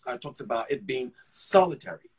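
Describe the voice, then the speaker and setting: A man from Ghana, an actor, talking about his career in a relaxed interview, often restarting and repeating words as he thinks. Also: mid-range voice, brisk, slightly rough. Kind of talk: casual conversational storytelling.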